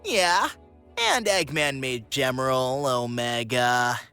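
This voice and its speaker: A woman's voice: extremely low pitch, lively intonation, slow pace, bright, forceful tone, smooth, heavily acted. A woman voicing a cocky, easygoing young male cartoon hedgehog in a comic dub, breezy and confident over music. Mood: cocky, upbeat. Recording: music under the voice.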